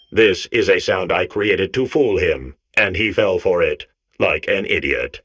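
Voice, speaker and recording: Tricky robot core, deep low voice, smug, deadpan, self-contradicting, comedic video game character. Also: male voice. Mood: sly deadpan.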